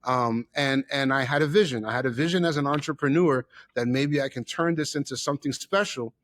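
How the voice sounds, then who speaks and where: A man's voice: mid-range voice, fairly quick, a bit bright. Middle-aged man telling his story, emphatic. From the Bronx, New York. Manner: emphatic, repeats phrases for effect. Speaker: interview guest, chef and theater owner. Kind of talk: personal storytelling in an interview.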